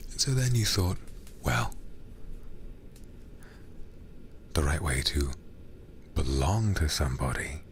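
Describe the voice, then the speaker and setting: A man playing a mocking, menacing demon lord in an ASMR roleplay, breathy and condescending, often dropping into a whisper. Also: a bass voice, big pitch swings, slight background noise, heavily acted. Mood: taunting. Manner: breathy whispering, condescending, deliberate drawn-out phrasing.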